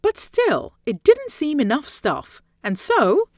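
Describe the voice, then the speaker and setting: A woman with a high voice reads a rhyming children's story aloud. Her pitch swings widely as she leans into dramatic emphasis, phrasing each line deliberately. The sound is close and dry. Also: huge pitch swings, dry recording. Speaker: storybook reader.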